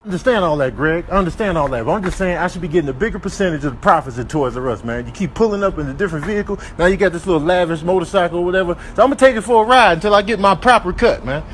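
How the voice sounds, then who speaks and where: A man's voice: high voice, racing, hardly any pauses, a little hiss or hum, natural voice. A man voicing cocky, aggrieved talking animals in comedic voiceovers, slangy and confrontational. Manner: slangy, confrontational, drops "man" and "bro" constantly.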